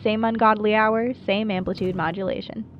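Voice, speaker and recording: A woman's voice: medium pitch, steady tone, normal speed, lo-fi sound, a little hiss or hum, lightly acted. A woman playing a wry, playful small-town late-night radio host in a scripted monologue, chatty and dryly self-deprecating. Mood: wry, breezy. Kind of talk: scripted radio show monologue, audio roleplay.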